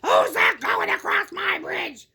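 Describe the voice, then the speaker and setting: Woman playing a menacing, high-pitched bridge troll. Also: takes it slowly, vocal fry, heavily acted. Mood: threatening. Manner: shouting, threatening, demanding. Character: menacing greedy troll guarding his bridge.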